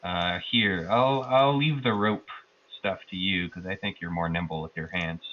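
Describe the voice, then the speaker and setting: A man's voice: low-pitched, normal speed, fairly bright tone, lo-fi sound. A man playing a tabletop roleplaying game, improvising casually and thinking aloud in a hesitant way.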